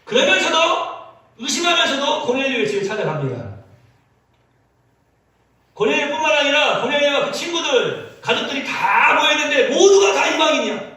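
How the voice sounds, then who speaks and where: A male pastor preaching a sermon in an animated, storytelling way, with many acted quotes. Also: very high voice, moderate pace. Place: church sanctuary. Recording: echoey church sound from a distance.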